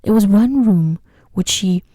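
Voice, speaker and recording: Softly whispering woman reading a sleep story. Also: medium pitch, no echo. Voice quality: soft, breathy, whispery. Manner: slow, gentle, soothing delivery. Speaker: narrator. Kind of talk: ASMR sleep story narration.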